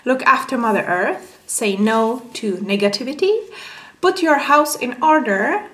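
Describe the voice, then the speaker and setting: A woman's voice: on the high side, lively intonation, measured pace, a bit bright. A young woman with a Czech accent, a native Czech speaker, casually reviewing a book in a small room with many filler sounds. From Czech Republic. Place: small room with window, indoors. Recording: echoey room.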